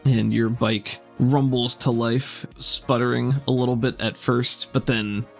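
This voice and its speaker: Gravelly-voiced man narrating a tabletop game. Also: mid-range voice, measured pace, faint music behind. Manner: relaxed, descriptive narration with easy asides. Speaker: game master.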